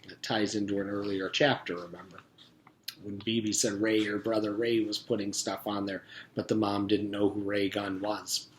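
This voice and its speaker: A man's voice: fairly low pitch, level tone, moderate pace, slight background noise. A man narrating a children's story aloud, like a teacher reading to pupils.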